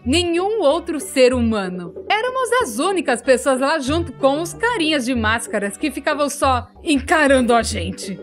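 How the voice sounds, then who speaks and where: Female actor for a chatty Brazilian animated storyteller: high, very animated delivery, comic self-mocking asides, music underneath. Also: moderate pace, hardly any pauses. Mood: chatty, wry. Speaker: narrator of an animated storytime video. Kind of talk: animated storytime narration, Brazilian Portuguese fan dub.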